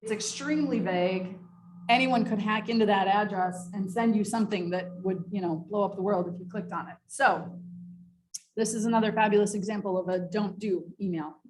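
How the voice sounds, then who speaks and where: A woman's voice: medium pitch, brisk, a little mellow, rough recording. A woman presenting a training session in an explanatory, informal way, part prepared and part off the cuff. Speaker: workshop presenter. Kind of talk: semi-scripted training presentation with informal asides.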